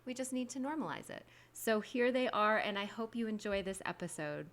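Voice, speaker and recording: A young woman co-host speaking earnestly and in a measured way. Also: medium pitch, animated, a bit bright. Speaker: podcast co-host.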